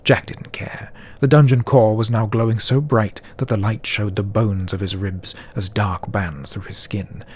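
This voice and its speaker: A man reading a scripted fantasy story as narrator, with dramatic, deliberate phrasing. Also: lowish voice, fairly even intonation, darkish tone, breathy edge. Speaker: audiobook narrator.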